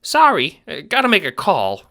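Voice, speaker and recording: A man voicing a cheerful, airheaded little minion creature in an audio drama, excitable, slangy and boyish. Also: medium pitch, normal speed, pitched above the performer's own voice. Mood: chatty. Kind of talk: fan audio drama character acting.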